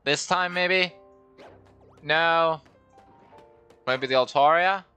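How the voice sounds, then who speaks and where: Bright-voiced man. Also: a little high, extremely slow, smooth, faint music behind. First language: Danish. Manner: rambling, self-deprecating, talks to himself. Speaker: streamer. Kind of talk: casual game livestream commentary.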